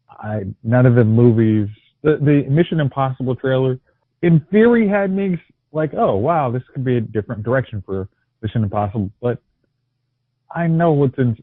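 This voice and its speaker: A man's voice: mid-range voice, animated, moderate pace, dry recording. A man co-hosting a casual movie podcast, starting over often and joking wryly. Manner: stammering restarts, wry jokes. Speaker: podcast co-host. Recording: tinny phone-line audio.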